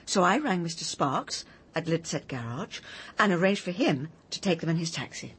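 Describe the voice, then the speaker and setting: An actress playing a composed, tart British village housekeeper in a radio mystery, crisp, precise and dryly indignant under police questioning. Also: female voice, on the low side, expressive, moderate pace. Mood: matter-of-fact.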